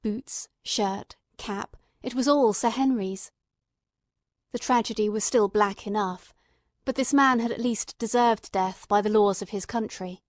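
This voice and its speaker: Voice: mid-range voice, measured pace, dry recording, studio quality, natural voice. A performer playing an earnest, emotional male companion who narrates a radio mystery in a literary, fervent manner. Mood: somber narration. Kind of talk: dramatic scripted narration, old-time radio mystery.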